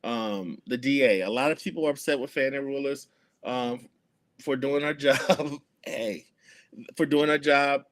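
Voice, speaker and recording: A man's voice: medium pitch, a little slow. A man, speaking earnestly as an activist guest in an interview, with many fillers and restarts. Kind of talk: conversational interview.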